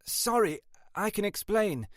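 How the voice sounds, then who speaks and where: A man's voice: a little high, lively intonation, measured pace, no room sound, studio quality, pitched above the performer's own voice. A man voicing a flustered, apologetic female detective sergeant who hesitates and evades, in an audiobook. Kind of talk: audiobook character dialogue.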